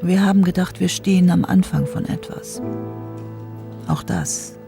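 An actress playing the reflective, disillusioned ghost of a parliamentarian, speaking a measured literary monologue in a radio drama. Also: female voice, on the low side, gravelly, music in the background. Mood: melancholy, pensive. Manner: measured, pensive.

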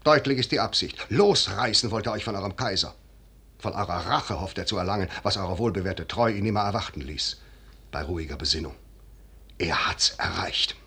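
Shrewd noble general in a 50s radio drama, lowish voice, swoops a lot, measured n persuasive. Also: male voice, animated, sharp tone, breathy edge, heavily acted. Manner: measured, deliberate, declamatory. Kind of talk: 1950s German radio drama stage-verse acting.

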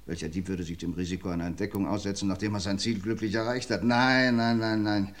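A man's voice: lowish voice, fairly even intonation, natural voice. Fields: man playing a wry police commissioner. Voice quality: measured, lecturing and wry. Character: shrewd, dryly ironic male police commissioner. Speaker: police inspector. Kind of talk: 1950s radio crime drama.

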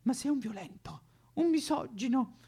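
A batty, snobbish rich housewife for a satirical monologue. The voice is very high and creaky, with affected swoons and whimpers as she rambles and loses her thread. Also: expressive, moderate pace, dark tone, heavily acted. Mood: lonely, panicky.

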